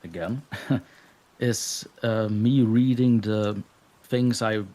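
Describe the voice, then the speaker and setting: Belgian man, low voice, modest interview guest who laughs easily. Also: fairly even intonation, slow, mellow. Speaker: guest narrator. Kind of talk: casual interview chat.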